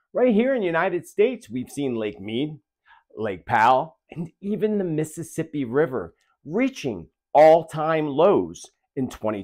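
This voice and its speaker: A man hosting his own channel, delivering dramatic prophecy commentary in an emphatic, theatrical way full of rhetorical questions. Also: a little high. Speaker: channel host, self-described spiritual medium.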